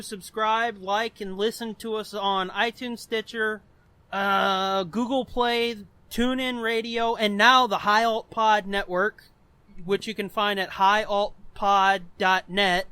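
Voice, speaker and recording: A man's voice: sits high, steady tone, slow pace, sharp tone, smooth, dry recording. A man co-hosting a podcast in casual, profane, rambling chat. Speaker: podcast co-host.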